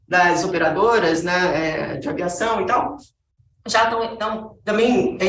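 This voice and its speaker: A woman's voice: mid-range voice, fairly bright tone, a little hiss or hum. A woman with a Brazilian Portuguese accent giving a conversational presentation, asking the audience rhetorical questions. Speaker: speaker giving a talk on accessibility. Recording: echoey room, voice from a distance.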